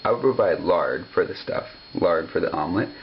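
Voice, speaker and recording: Man voicing a suave, sly gentleman fox, lively pitch. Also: normal speed, highs cut off, slight background noise. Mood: coaxing. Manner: polite, formal, coaxing. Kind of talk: storybook character voice.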